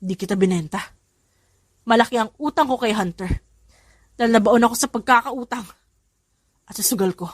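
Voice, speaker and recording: A woman's voice: a little high, normal speed, long pauses, husky, no room sound. Woman reading as a guilt-ridden older uncle. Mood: remorseful. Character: guilt-ridden indebted uncle, a gambler confessing.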